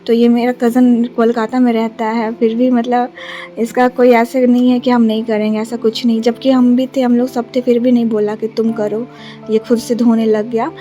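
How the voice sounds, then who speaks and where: A young woman from Jharkhand, India, vlogging casually on a phone camera, her throat a little sore, speaking softly with many hesitations. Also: a little high, little pitch movement, moderate pace, faint music behind. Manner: soft, subdued, many hesitations. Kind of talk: casual vlog narration. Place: bus stand, then a relative's flat.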